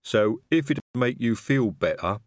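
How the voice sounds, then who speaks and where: A man voicing a big, blunt male troll bodyguard with rough grammar and th said as d or t, in a storybook reading. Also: mid-range voice, animated, measured pace, no echo, clean studio sound, natural voice. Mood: calm, matter-of-fact.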